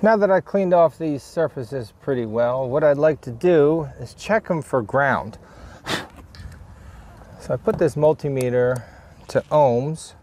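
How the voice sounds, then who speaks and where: A man with a mid-pitched voice talks through a DIY vehicle job as he does it. He speaks casually and off the cuff, in short plain remarks, as if thinking aloud. Some ambient work noise sits behind his voice. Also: a little mellow. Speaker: DIY vlogger demonstrating a vehicle repair. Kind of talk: casual hands-on how-to narration while working.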